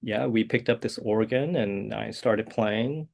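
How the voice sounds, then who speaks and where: Male composer, 40s, fry-edged low voice, hesitant and thoughtful. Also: measured pace. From South Korea. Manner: soft-spoken, hesitant, many uh and um fillers. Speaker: guest composer. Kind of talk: interview answers.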